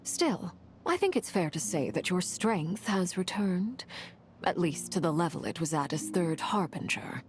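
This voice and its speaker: A woman playing a cool, commanding noblewoman in a video game, composed and measured, with a dry, understated manner. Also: lowish voice, animated, music in the background. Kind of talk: dramatic video game voice acting.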